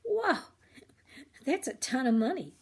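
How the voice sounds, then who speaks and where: An older woman voicing an excited young boy in a children's storybook, exclaiming and asking questions. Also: high voice, slow, voice pushed up, full character voice. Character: excited young boy, keen to make money.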